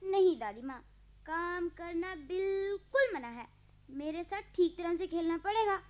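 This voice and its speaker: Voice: extremely high pitch, lively intonation, measured pace. A performer playing a bossy, playful little granddaughter in a radio play, pleading and cheeky. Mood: insistent. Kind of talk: Hindi radio play acting. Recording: dull lo-fi archival radio recording.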